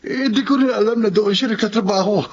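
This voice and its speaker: A man playing a nervous, soft-hearted young novice thief, hesitant and pleading, in a Filipino radio drama. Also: high voice, quick pace. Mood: urgent, pleading. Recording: dull lo-fi audio with faint noise.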